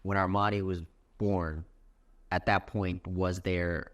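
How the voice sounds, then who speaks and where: A man's voice: low voice, flat delivery, slow, fairly bright tone. A man in his twenties hosting a podcast, asking thoughtful, probing questions in a casual chat. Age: 20s. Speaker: podcast host.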